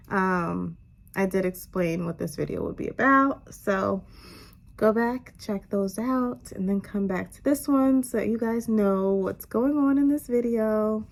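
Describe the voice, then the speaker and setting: A woman's voice: mid-range voice, creaky. A young woman with a stuffy, slightly nasal voice tells a casual story time to her camera at home, with lots of fillers. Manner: chatty, lots of "um" and "you guys". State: congested, a little nasal. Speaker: vlogger. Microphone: camera on tripod.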